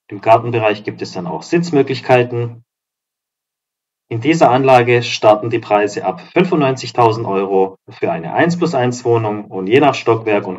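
A man with a slightly hoarse voice talks his viewers through new apartments, upbeat and selling as he walks from room to room. His pitch sits mid-range, and the phone recording sounds a little dull and lo-fi. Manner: upbeat, promotional, walks through rooms while talking. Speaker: real estate agent and vlogger. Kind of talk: property tour narration. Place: apartment complex in a seaside town, indoors and outdoors.

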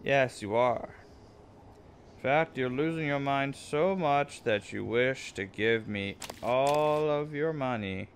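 Clear game noise runs behind a man commentating casually as he plays. His delivery is playful and jokey, with theatrical asides tossed at things in the game. His voice sits at a middling pitch. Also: slow pace. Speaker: gamer, let's play commentator. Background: video game sounds.

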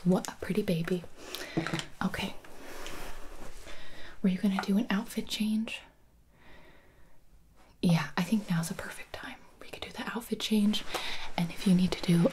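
A woman whispering through an ASMR roleplay, playing a baby photographer. She speaks very slowly and unhurriedly, with a hushed, breathy delivery. Her voice sits at an ordinary middle pitch and coos gently as if to a little one. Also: steady tone. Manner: soft whispering, cooing as if to a baby.

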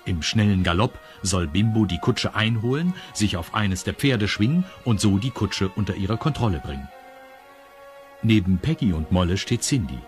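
A man with a husky voice narrating a scripted children's radio story. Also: on the low side, animated, moderate pace, faint music behind.

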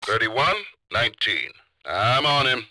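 A man playing an urgent highway patrol officer in a video game, calling in with clipped phrases over police radio. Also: animated, measured pace, bright, forceful tone, no room sound, heavily acted.

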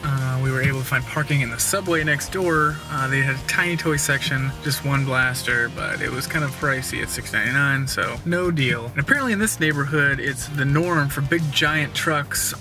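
A man's voice: medium pitch, moderate pace, few pauses, far from the mic, music in the background. Chatty young man vlogging from his car. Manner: relaxed, chatty, many "uh" fillers. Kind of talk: casual travel vlog. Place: inside a car while driving.

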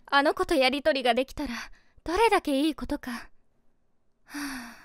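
A woman's voice: high-pitched, moderate pace, no echo, clean studio sound, close to an everyday voice. An actress playing a composed, ladylike streamer in her late twenties, privately smitten, musing to herself in a feminine way in a voice drama. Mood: longing, self-reproachful. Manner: feminine, softly self-questioning musing. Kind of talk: Japanese situation voice drama monologue.

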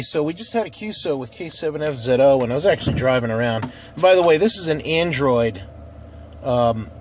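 A man giving a casual demonstration as an amateur radio operator, recorded inside a car. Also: medium pitch. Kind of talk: casual demo narration and radio call-outs. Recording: noisy car interior, handheld camera. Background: vehicle noise.

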